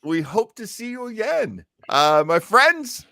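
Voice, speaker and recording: A man's voice: sits high, sing-song, slow pace, gravelly, music in the background. A man hosting a casual chat, talking in a jokey, theatrical and effusive way. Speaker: host.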